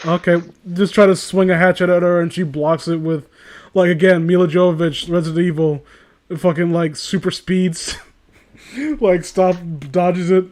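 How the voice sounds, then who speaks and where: A man with a high voice hosts a casual comedic reading. He riffs sarcastically and swears freely, in an animated way. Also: moderate pace. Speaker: host reading fan fiction aloud. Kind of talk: casual comedic chat and riffing.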